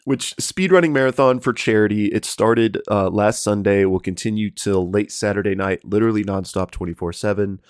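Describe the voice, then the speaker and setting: A man's voice: lowish voice, fairly quick, few pauses, clear, resonant tone, dry recording, clean studio sound. A young man co-hosting a fan podcast, recapping an episode in a laid-back, filler-heavy way while getting over a cold. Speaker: podcast co-host. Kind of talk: casual chat and episode recap.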